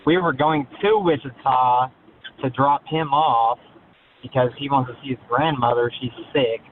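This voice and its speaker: A man's voice: mid-range voice, unhurried, a little hiss or hum. Hesitant male caller on a tinny phone. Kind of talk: halting phone conversation. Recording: thin, narrow-band phone line.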